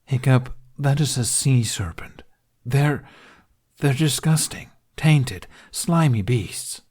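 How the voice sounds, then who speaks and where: A man's voice: medium pitch, animated, takes it slowly, a little mellow, husky, pitched above the performer's own voice. A man voicing a proud, protective, dryly sarcastic dragon in an audiobook, speaking in blunt, formal grumbles. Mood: disgusted. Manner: grumbling, declarative, formal phrasing.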